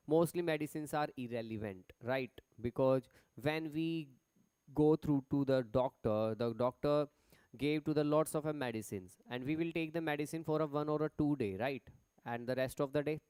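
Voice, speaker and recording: A man's voice: fairly high pitch, a little mellow, smooth, dry recording. A man with an Indian accent teaching a live online class, chatty as he reads points off slides and stops to answer students in the chat. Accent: Indian English.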